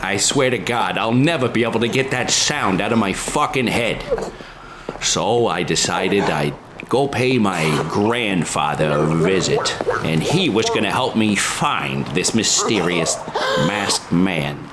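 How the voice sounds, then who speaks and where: A man's voice: on the low side, animated, unhurried, very noisy, voice pushed down. A man playing a crude, vengeful jilted man who narrates his own tale melodramatically in a parody radio drama. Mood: bitter, brooding. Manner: profane, melodramatic. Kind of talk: improvised parody of old time radio drama.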